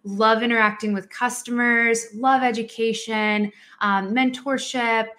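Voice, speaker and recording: A woman's voice: fairly high pitch, steady tone, unhurried, fairly bright tone. Podcast co-host, woman, middle-aged, Spanish native speaker, friendly chat, lots of ums. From Colombia.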